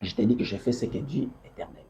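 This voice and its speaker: Low, mellow man with a Congolese French accent. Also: quick pace, a little hiss or hum. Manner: emphatic and repetitive, quotes scripture and mixes in Lingala. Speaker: political party leader. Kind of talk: interview answers in a preaching tone. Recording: dull lo-fi recording.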